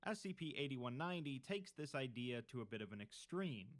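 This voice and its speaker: A man reading a scripted horror-fiction story in a measured, documentary-style narration. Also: medium pitch, lively intonation.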